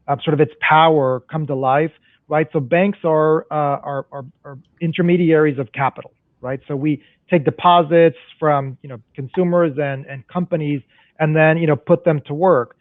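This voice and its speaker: A man's voice: fairly high pitch, steady tone, moderate pace, dry recording. A man with a somewhat raised voice explains banking at length, circling back with restarts and "right?". His audio is dry and boxy, squeezed into a narrow phone-like band, as if heard over a remote call. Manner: explanatory, many restarts and tag questions. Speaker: panel guest, community development banker. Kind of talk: panel discussion answer.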